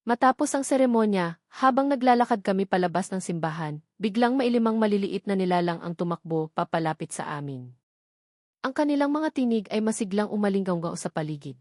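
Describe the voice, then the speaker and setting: A woman delivers dramatic first-person story narration. Her phrasing is deliberate and emotive, at a middling pitch. The recording is very dry and close, with no room around the voice. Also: expressive, darkish tone, no echo.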